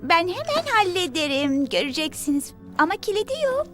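A woman playing an eager, wide-eyed teenage girl in a dubbed animated adventure, speaking expressively. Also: very high voice, a little fast, exaggerated voice. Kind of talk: animated series dubbing. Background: music.

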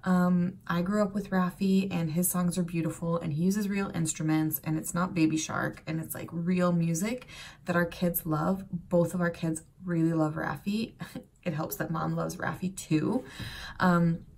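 A woman's voice: lowish voice, fairly even intonation, normal speed, gravelly, a little mellow. Chatty woman vlogging from home. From Serbia, raised in Canada and the United States. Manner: chatty and rambling, many fillers and asides, gestures a lot. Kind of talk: casual chat to camera. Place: bright home room with shelves, indoors.

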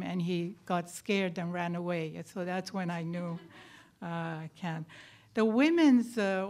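Older woman, deliberate academic panelist. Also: on the low side, darkish tone. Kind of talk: personal account at a panel talk. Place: lecture room, at a panel table. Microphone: microphone on a table stand.

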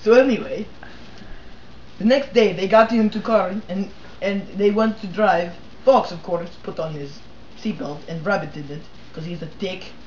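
Guy doing a squeaky fake russian storyteller, broken english, echoey lo-fi room. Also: unhurried, a little hiss or hum. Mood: gleeful. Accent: mock Russian. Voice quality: broken English, high put-on voice. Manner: bursts into shouting for quoted characters. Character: crude mock-Russian male storyteller. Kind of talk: comedic amateur storytelling. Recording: echoey lo-fi amateur recording.

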